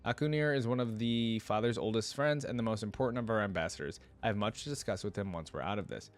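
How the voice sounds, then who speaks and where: Male reaction host, lowish voice, reads narrative prose aloud with informal, slangy commentary. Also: fast talker. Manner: casual, informal asides with slang. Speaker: reaction video host reading a story aloud.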